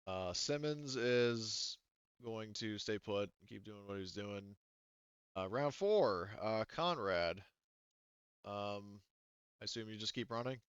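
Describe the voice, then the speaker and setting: A man running a tabletop role-playing game as game master, haltingly thinking aloud through the rules. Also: on the low side, lively intonation, clean tone, dry recording, dull audio.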